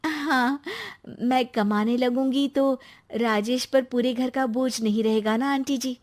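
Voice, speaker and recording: A woman voicing an emotional young wife in a cartoon story, speaking in a pleading way that takes offense quickly. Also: high-pitched, fairly even intonation, darkish tone, voice pushed up. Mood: worried.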